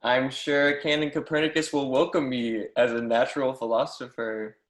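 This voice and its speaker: A man's voice: mid-range voice, little pitch movement, normal speed, natural voice. A man playing an eager, deferential young mathematics professor in a stage play reading, earnest, effusive and formally polite. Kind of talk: readers theatre performance of a history play. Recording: echoey room.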